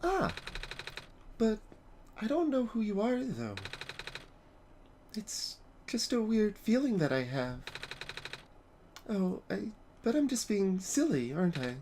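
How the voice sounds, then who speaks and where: A man voicing a gentle, hesitant young child adventurer in a video game, curious and apologetic. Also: high-pitched, wildly swooping pitch, slow, pauses often, a little hiss or hum, pitched above the performer's own voice, full character voice. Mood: shy, apologetic. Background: game music.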